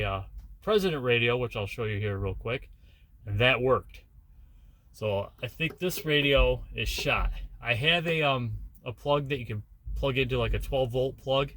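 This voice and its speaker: A man's voice: mid-range voice, a bit bright. A man talking in a casual, rambling, plain-spoken way, like a truck driver vlogging from his cab. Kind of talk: casual rambling vlog chat.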